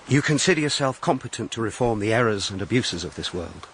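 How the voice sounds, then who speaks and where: Grave clergyman, gentle suitor, lowish voice, formal, deliberate, reserved, period radio drama, faint noise. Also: expressive. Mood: gravely sceptical.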